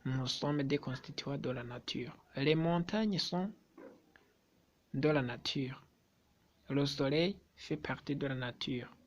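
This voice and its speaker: A man whose first language is not French, speaking to his subscribers in a vlog in a slow, deliberate way, often repeating himself and hesitating. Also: mid-range voice, highs cut off. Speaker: YouTuber.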